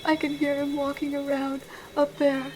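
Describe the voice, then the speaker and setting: Woman acting scared lady hiding at home calling into radio, really high urgent voice, faint music. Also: normal speed, fairly bright tone, low-quality recording. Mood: panicked. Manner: frightened, urgent. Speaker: radio phone-in caller. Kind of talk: radio horror drama.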